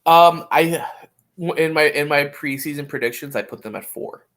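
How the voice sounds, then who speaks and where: A man co-hosting a sports podcast, giving opinions in casual talk and often halting to find his words. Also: fairly high pitch, fairly bright tone. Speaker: podcast co-host. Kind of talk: casual soccer discussion.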